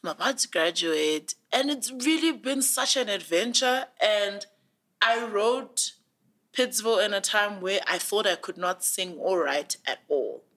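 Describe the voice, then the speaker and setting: A woman's voice: medium pitch, measured pace. A young woman in her twenties with a South African accent, talking earnestly and with feeling in a casual interview, with lots of "like" fillers. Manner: earnest, animated storytelling with many "like" fillers. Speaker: guest.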